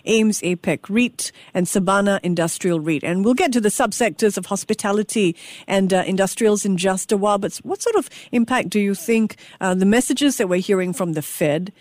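A woman's voice: medium pitch, brisk, a little mellow, no echo. A woman presenting as a radio host, moving between scripted lines and live interview questions on finance.

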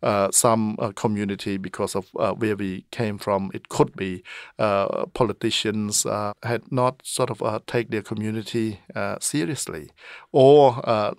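A middle-aged man, a member of parliament answering interview questions in an earnest, halting way with many pauses. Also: on the low side, steady tone, clean tone, no echo, clean studio sound. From Cambodia.

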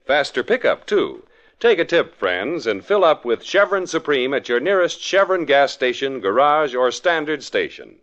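A man's voice: mid-range voice, big pitch swings, normal speed, fairly bright tone, lo-fi sound. A male announcer reading a scripted radio commercial and narration in a polished, emphatic way, on an old radio recording with an orchestra.

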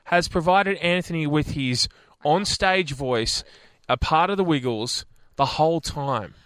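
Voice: medium pitch, unhurried, a bit bright, clean tone, no echo. A radio co-host delivering news in a teasing, matter-of-fact way. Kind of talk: casual radio banter.

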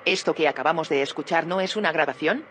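A woman's voice: deep voice, fast, a bit bright, a little hiss or hum. A woman playing a curious, probing character in an audio drama, with an inquisitive manner. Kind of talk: Spanish audio fiction acting.

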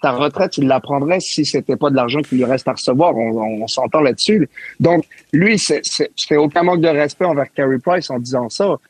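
A man on a sports panel, debating hockey trades in an animated way and often cutting in on the others. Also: a little high, a little fast, no echo. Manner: animated, interrupts and talks over others.

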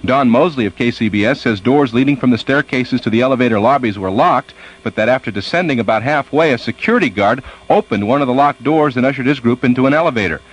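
Male American radio reporter, fast-moving scripted news delivery. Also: mid-range voice, muffled recording.

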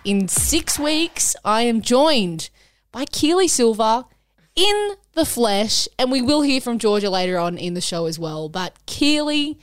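A woman's voice: a little high, very animated, a little slow, smooth, no echo. A woman hosting a sports podcast in a casual, upbeat, chatty way. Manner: upbeat, chatty, sings parts of the intro. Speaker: podcast host.

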